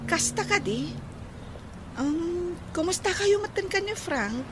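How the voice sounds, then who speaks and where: Woman acting curious young nurse, Ilocano Filipino accent, very high voice, friendly, chatty, teasing, radio serial. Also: animated, slow, noisy background, exaggerated voice. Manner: chatty, inquisitive.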